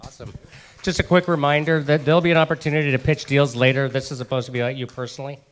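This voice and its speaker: A man's voice: mid-range voice, fairly even intonation, rapid-fire. A man hosting a casual group meeting, joking and upbeat, speaking into a handheld mic in a meeting room. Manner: upbeat, joking, repeats "all righty". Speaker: meeting host. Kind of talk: casual emcee chat.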